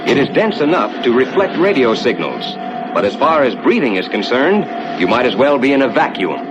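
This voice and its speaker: An American man playing a rocket ship captain, narrating a scripted 1950s ride to his passengers in a measured, reassuring, explanatory way. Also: big pitch swings, over music, natural voice. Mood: assured, explanatory. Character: assured male rocket ship captain guiding passengers through space. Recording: dull 1950s soundtrack with loud rumbling noise.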